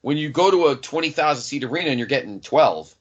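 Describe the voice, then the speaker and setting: A man co-hosting a casual wrestling podcast, emphatic and quick to agree, with casual swearing. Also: on the high side, expressive, dull audio. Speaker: podcast co-host.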